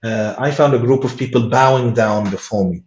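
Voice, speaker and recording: A man with giving a personal testimony in an interview, earnest and halting with many restarts. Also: medium pitch, crowd noise. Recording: echoey room, dull lo-fi sound.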